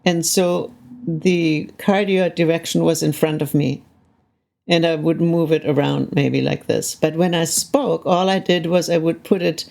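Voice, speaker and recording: Thoughtful older woman with a gravelly voice. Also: deep voice, dark tone. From Canada. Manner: thoughtful, frequently adds "right?" to check in. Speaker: interview guest, soundscape composer. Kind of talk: reflective conversational interview.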